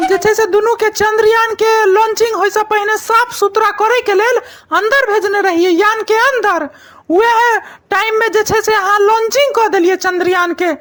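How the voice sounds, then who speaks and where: A woman's voice: very high-pitched, fairly bright tone. A woman with a Maithili accent voicing a silly, blundering scientist's assistant in a comic cartoon, rambling and cheerfully callous. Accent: Maithili, Mithila region. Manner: rambling, colloquial, with repeated filler phrases. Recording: music bed under the voice.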